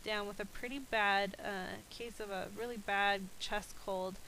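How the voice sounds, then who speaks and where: A woman's voice: medium pitch, steady tone, measured pace, bright, forceful tone, slight background noise, poor audio. A woman with a slightly stuffy, nasal voice from a cold, chatting casually with frequent ums as she narrates a voice-over about her crafting. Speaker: scrapbooking video creator.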